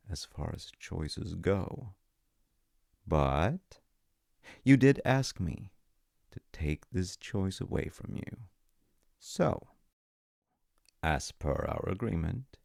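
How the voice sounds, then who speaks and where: A man telling a story in an intimate roleplay as a dad, in a measured, wry way with dramatic pauses. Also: sits low, vocal fry, darkish tone, no echo, studio quality.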